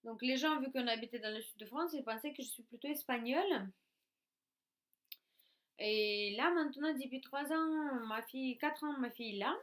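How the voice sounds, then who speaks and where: A woman's voice: high-pitched, normal speed, fairly bright tone. Chatty woman with a Russian accent. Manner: chatty, hesitant with many fillers and restarts. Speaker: YouTuber. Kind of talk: casual chat to camera. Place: at home in her craft room.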